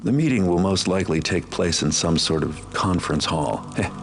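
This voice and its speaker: An actor playing a cynical, sly master thief, narrating his plans in a dry, sardonic game voice-over with a gravelly voice. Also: deep voice, animated, normal speed, background noise. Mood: wry, guarded. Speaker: narrator of mission briefings. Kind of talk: scripted noir-style game narration. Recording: music under the voice.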